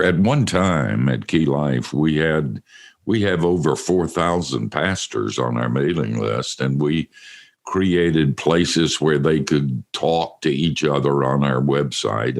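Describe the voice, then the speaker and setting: An older man with a deep voice hosting a casual interview, joking and laughing at himself. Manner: joking, self-mocking, laughs often. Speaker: radio show host.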